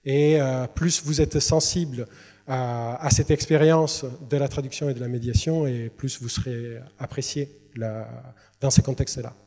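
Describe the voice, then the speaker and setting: A man's voice: mid-range voice, steady tone, moderate pace, warm tone. Man, hesitant, in a reverberant hall. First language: Italian. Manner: hesitant, many fillers and restarts. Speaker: literary translator. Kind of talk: presentation to students. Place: university lecture hall. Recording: long reverb in a large hall.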